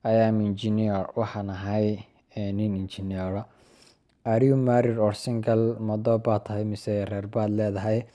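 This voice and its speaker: A young Somali-accented man reads short English practice dialogues and then explains them in his own language. He has a mellow, dark voice and a patient teacher's manner. He says each English phrase slowly and a little louder, then drops to a quieter voice for the explanation. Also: lowish voice, little pitch movement. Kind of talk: language lesson, reading sample dialogues and translating.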